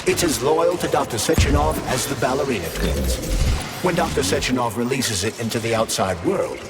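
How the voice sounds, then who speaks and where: An actor playing a composed, formal artificial-intelligence companion in a video game, speaking precisely and politely. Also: male voice, medium pitch, sing-song, fairly quick, loud music behind the voice, lightly acted. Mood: dry, insinuating.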